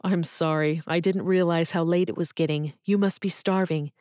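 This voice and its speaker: Woman reading a kind, gentle businessman. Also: fairly low pitch, fairly even intonation, dry recording, clean studio sound, natural voice. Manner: gentle, measured.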